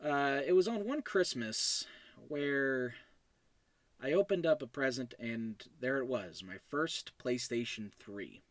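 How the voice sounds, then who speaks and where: A man's voice: mid-range voice, expressive, slow pace, no room sound. A young man talking casually as a solo podcast host, in an informal, rambling way and often correcting himself. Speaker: podcast host and show creator.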